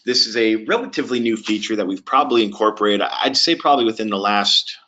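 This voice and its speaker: Low-voiced man presenting a webinar. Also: fast talker, sharp tone. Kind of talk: software webinar walkthrough. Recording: dull, lo-fi webinar audio.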